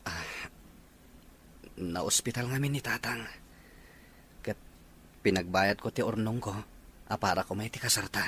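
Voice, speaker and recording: A man's voice: lowish voice, unhurried, pauses often, a bit bright, breathy edge. A man playing a humble, apologetic young man in an audio drama. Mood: ashamed. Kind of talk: Ilocano audio drama acting.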